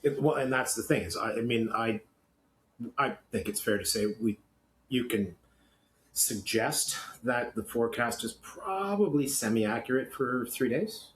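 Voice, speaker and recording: A Canadian man co-hosting a podcast in casual, opinionated chat, blunt and grumbling, often halting and repeating words. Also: mid-range voice, lively intonation, low-quality recording. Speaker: podcast co-host. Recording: clear background noise.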